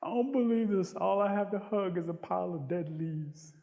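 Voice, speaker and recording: A man's voice: sits high, animated, brisk, reverberant, big-room sound. A man voicing a whiny, lovelorn possum in an animal folktale, grumbling and exclaiming plaintively. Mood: tearful, aggrieved. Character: whiny, put-upon, lovelorn male possum.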